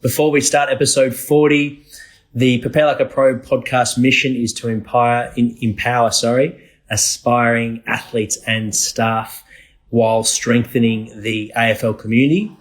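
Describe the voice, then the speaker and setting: Podcast host with a mid-range voice. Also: male voice, mid-range voice, little pitch movement, normal speed. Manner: stumbles over words while reading. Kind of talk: reading intro, then casual interview. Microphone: video call.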